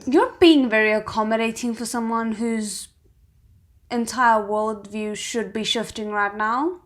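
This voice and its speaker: A woman's voice: a little high, unhurried, soft background music. A woman hosting a reaction video, giving casual commentary and thinking aloud.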